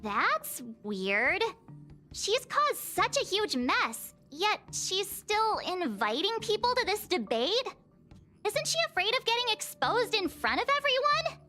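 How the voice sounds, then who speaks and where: Very high, bright, theatrical girl. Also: slow, soft background music, heavily acted. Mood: puzzled. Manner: expressive, theatrical. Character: playful, theatrical, bubbly young girl. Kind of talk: video game voice acting.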